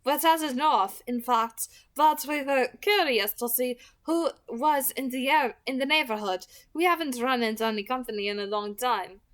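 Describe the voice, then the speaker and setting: A woman's voice: high-pitched, normal speed, hardly any pauses, a bit bright, pitched above the performer's own voice. A woman voicing a friendly, curious female vampire pack leader in an audiobook-style reading. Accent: French.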